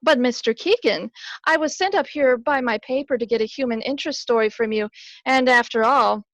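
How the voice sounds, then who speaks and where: A woman's voice: a little high, a little fast, fairly bright tone, no echo. An actress playing an eager, persistent female sleuth in a radio drama, earnest and probing. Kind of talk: old-time radio drama acting.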